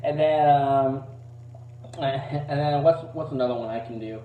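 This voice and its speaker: Halting man on a roomy, distant mic. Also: slight background noise. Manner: hesitant, halting, self-deprecating. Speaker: amateur voice impressionist. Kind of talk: casual rambling voice demo to camera. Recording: roomy, distant, dull low-fidelity mic.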